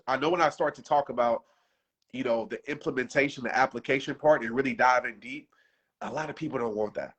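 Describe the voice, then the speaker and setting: A man in his thirties speaks off the cuff to a livestream audience, pushing them hard in casual slang. His pitch sits in an ordinary middle range, and his voice sounds worn from talking so long. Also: fairly bright tone. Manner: passionate, preachy, casual slang. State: losing his voice. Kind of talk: unscripted livestream pep talk.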